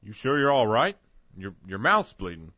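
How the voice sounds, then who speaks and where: A man playing a gruff but concerned small-town friend in an old Hollywood-style drama. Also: fairly low pitch, sing-song, normal speed, no room sound. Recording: dull lo-fi film audio.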